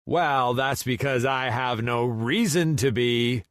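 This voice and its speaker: A man's voice: medium pitch, slow, no echo, studio quality, pitched above the performer's own voice, close to an everyday voice. A man voicing a cocky, playful male friend with a smug, sing-song manner in a romance audiobook.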